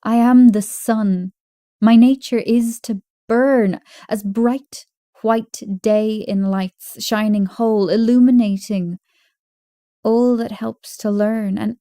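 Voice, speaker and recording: A woman reciting poetry in a measured, deliberate way, pausing between short phrases. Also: fairly high pitch, fairly even intonation, warm tone, no room sound, studio quality. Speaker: poetry reader.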